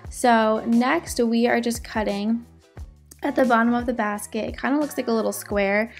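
A woman's voice: a little high, steady tone, normal speed, faint music behind. A woman doing a casual, chatty crafting voice-over for her vlog. She is sick, and her voice cracks now and then. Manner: chatty, upbeat, fillers. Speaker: DIY vlogger. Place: home, indoors.

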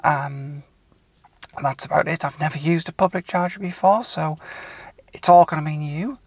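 A woman's voice: sits low, moderate pace, vocal fry, a little mellow, no echo. A middle-aged British woman chatting casually and a little hesitantly to camera as a vlogger inside a car. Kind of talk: casual vlog talk to camera. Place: inside a car, parked or driving. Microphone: camera's built-in mic or camera at arm's length. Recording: distant built-in camera mic in car.

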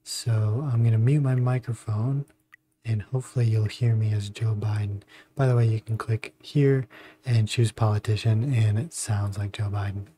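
A man hosting a casual software walkthrough, speaking in a halting, informal way. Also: lowish voice, steady tone. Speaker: tutorial host. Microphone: close budget desktop microphone.

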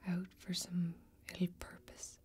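A young woman playing a grave, weary fantasy warrior in an ASMR role-play, whispering slowly and deliberately in a breathy, brooding way. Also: fairly low pitch, monotone, a little mellow, soft background music. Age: young adult. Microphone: close microphone.

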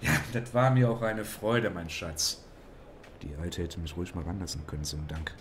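An actor playing a gruff, crude, bossy male police inspector in a parody detective audio drama. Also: low voice, moderate pace, background noise, natural voice. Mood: sleazy.

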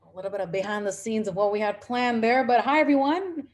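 A woman's voice: on the high side, steady tone, fast talker. A twenty-three-year-old woman on a video call, giving an organized summary of points. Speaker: community builder.

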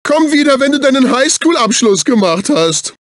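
A man's voice: very high voice, big pitch swings, normal speed, no echo, clean studio sound. A male actor voicing a fussy, snobbish, servile executive assistant in a dubbed cartoon video game, with a prissy, condescending, exclamatory delivery. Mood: indignant, haughty. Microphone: close studio microphone.

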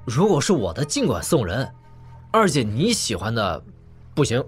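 A cool cocky young prince in a wuxia radio drama, medium pitch, lots of swing, music behind. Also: male voice, wildly swooping pitch, moderate pace. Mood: firm. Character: cocky, composed young prince and martial master. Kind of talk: audio drama acting.

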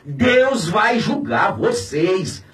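A man's voice: sing-song, very slow, distant mic. Fiery Brazilian pastor in his fifties. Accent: Brazilian Portuguese. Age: 50s. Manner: indignant, preaching, alternately shouting and dropping low. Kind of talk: impassioned radio commentary. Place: small radio studio. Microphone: studio mic on a boom, filmed from a distance.

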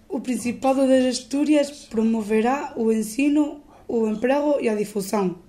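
Teenage girl, Portuguese native, mellow reading. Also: on the high side. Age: teens. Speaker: student presenter. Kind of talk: reading a translation aloud. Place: school library.

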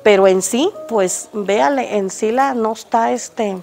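Older woman, Mexican Spanish accent, gravelly, hesitant restarts, outdoor street interview, faint music behind. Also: on the high side, darkish tone. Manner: halting, hesitant, many restarts. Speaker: interviewed resident. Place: outdoors on a street by a house gate.

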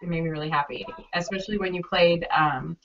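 A woman's voice: on the low side, fairly even intonation, fast talker. A young woman hosting a casual interview over a video call from her bedroom, bubbly and gushing. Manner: bubbly, gushing, lots of fillers. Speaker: interviewer host. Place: small bedroom, indoors. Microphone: video call webcam. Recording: distant, dull webcam audio.

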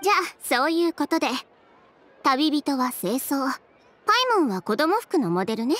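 Game casting: confident young woman designer, high and expressive, brisk, dryly frank, no-nonsense. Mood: brisk, assured. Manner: matter-of-fact, decisive, frank. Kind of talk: Japanese anime game voice acting.

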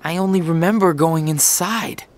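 An actor playing an earnest, headstrong, troubled teenage boy in a video game, with halting delivery. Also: fairly high pitch, close to an everyday voice. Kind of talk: video game cutscene voice acting.

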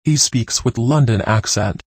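Man reading phrases, measured and dry. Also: medium pitch, no room sound. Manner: clear, measured, one sentence at a time. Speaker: phrase reader.

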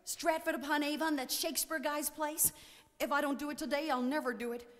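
A man's voice: very high-pitched, normal speed, a bit bright, voice pushed up. A man voicing a gushing, melodramatic young American woman tourist in a humorous story reading. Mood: tearful. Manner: breathless, melodramatic, effusive. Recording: long hall reverb.

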